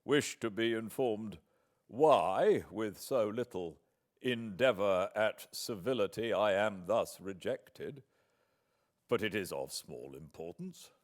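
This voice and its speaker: A man voicing a proud, stiff English gentleman in an audiobook, speaking formally with forced calm over resentment. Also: on the low side, takes it slowly. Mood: forced calm, resentful. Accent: British. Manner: haughty, clipped formal phrasing.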